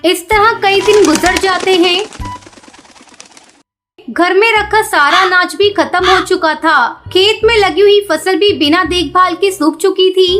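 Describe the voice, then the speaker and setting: A woman's voice: very high voice, a little slow, fairly bright tone. A woman reading scripted narration for a children's story. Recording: music behind the voice.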